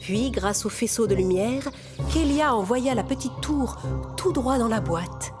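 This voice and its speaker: A woman's voice: a little high, lively intonation, a little slow, music in the background. A woman storyteller reading scripted fairy-tale narration for children.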